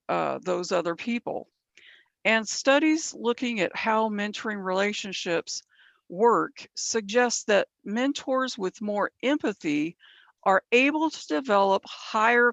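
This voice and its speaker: A woman's voice: medium pitch, vocal fry, no echo. A woman presenting a mentoring training session, explaining step by step and often checking in with "right?", her voice a little worn. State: losing her voice. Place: from San Bernardino County, California.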